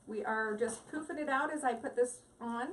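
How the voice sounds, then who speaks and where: A woman with a fairly high voice chats and giggles her way through a live craft demo at her home table. She is picked up from a little way off by the device mic, with a faint hum of room noise underneath. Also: normal speed, fairly bright tone, distant mic, rough recording. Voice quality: giggly, rambling, talks to viewers. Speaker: craft live-stream host. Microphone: device mic set back from the table.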